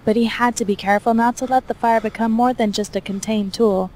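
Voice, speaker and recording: A woman's voice: a little high, fairly even intonation, fairly quick, a little mellow. A woman reading scripted fiction aloud as the narrator of an action fantasy story.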